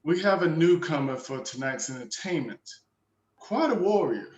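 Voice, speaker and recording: A man's voice: on the high side, lively intonation, normal speed, a little mellow, dull audio, lightly acted. A man playing a flamboyant, eccentric feudal barkeep in an amateur dramatic script reading, recorded in a roomy space. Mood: showy, teasing.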